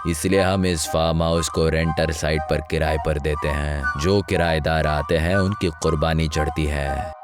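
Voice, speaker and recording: A man playing a tall, scary cursed killer in an animated horror story, with a heavy, dreadful voice and an ominous manner. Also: very deep voice, over music. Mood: grim, ominous. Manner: slow, deliberate, ominous.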